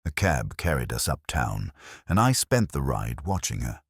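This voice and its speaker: A man with a very deep voice narrates a detective story in the first person. His delivery is wry and hardboiled, with wide swings in pitch, and the recording is dry and close. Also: big pitch swings, moderate pace, husky, no room sound. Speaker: audiobook narrator.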